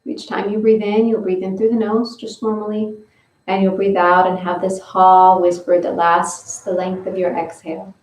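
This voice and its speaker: A woman leading a guided breathing and stretching session, giving slow, gentle step-by-step instructions. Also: mid-range voice, flat delivery, far from the mic. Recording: roomy, from away from the mic.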